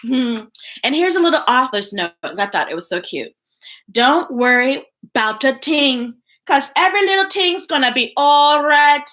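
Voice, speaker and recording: Brief: American woman, storybook reader on a live stream, high bright voice, sings lines and chats. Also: expressive, moderate pace. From United States. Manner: sings the book text with playful scat sounds; chatty asides between readings. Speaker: children's book reader.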